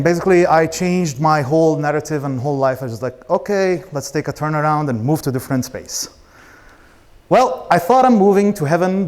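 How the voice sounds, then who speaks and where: A man's voice: on the high side, darkish tone. A man in his thirties from Israel, an Arabic speaker, giving an informal, blunt personal talk as a startup founder. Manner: casual, blunt, swearing.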